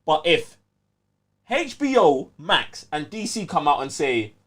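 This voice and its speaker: A man with a London accent chatting casually as a podcast host, excitable and slangy, repeating himself for emphasis. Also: a little high, slow. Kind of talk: animated casual fan chat.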